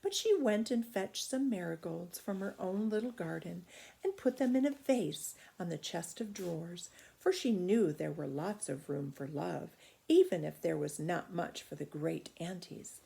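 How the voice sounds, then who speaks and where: A woman reading a children's story aloud in expressive narration. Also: on the low side, measured pace, vocal fry.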